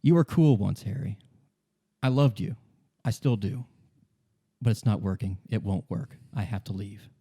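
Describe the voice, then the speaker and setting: A man playing a weary, resigned woman in her late thirties leaving an old love, in a tabletop roleplay, speaking quietly and firmly. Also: lowish voice, moderate pace, pauses often, breathy edge. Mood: sad, resigned.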